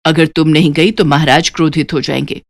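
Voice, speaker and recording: A woman voicing a caring, encouraging middle-aged mother in an animated story, speaking in a coaxing, motherly way. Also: low-pitched, brisk, gravelly, pitched below the performer's own voice.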